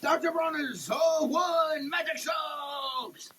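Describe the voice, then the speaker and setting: A man giving a comedic dramatic reading of a product label, theatrical and over-emphatic, with halting delivery. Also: very high-pitched, a bit bright, shouting, a little hiss or hum. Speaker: comedic performer.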